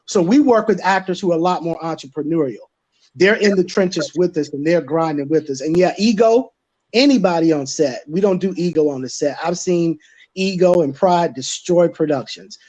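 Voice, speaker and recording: A man's voice: fairly high pitch, moderate pace, darkish tone. A man speaking emphatically and colloquially in a panel chat as a stage producer and director, on muffled call audio.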